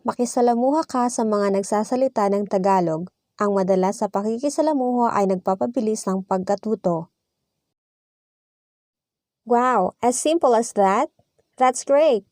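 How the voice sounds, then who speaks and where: A woman reads a practice dialogue line by line in a measured, even way, playing both speakers and following each line with its translation. Her voice sits a little high. It is recorded close up in a dry space with no room sound. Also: no echo. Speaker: language teacher. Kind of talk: slow scripted reading of a dialogue with translations.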